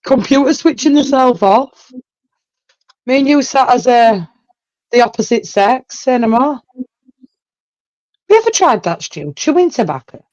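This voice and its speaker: Lively high-voiced Yorkshire woman, dull audio. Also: a little slow, pauses often, dry recording. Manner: cheeky, teasing. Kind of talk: casual banter on a live stream.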